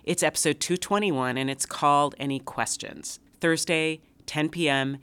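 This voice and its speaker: A woman's voice: sits low, moderate pace, fairly bright tone, clear, resonant tone. A woman hosting a podcast, nasal from a cold, bubbly and gushing with superlatives. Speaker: podcast host. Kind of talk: casual podcast chat.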